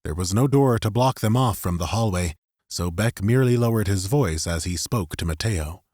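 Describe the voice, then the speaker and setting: Man, low pitch, audiobook narrator, scripted fiction storytelling, dry close studio recording. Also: normal speed, darkish tone, dry recording.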